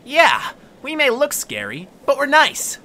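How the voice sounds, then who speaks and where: Actor as animated, matter-of-fact young adventurer. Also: high voice, unhurried, full character voice.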